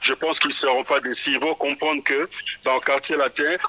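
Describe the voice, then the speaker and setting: Bright-voiced man, halting speech. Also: mid-range voice, steady tone, rough recording. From Kinshasa, DR Congo. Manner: stumbles and repeats small words while thinking. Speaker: musician guest. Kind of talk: radio interview.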